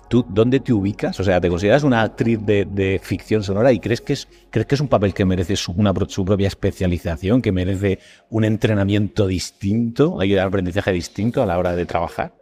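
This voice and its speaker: Low-pitched Spanish man hosting a podcast. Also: mellow. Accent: Spanish from Spain. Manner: wordy, long reflective questions. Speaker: podcast host. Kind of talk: casual interview.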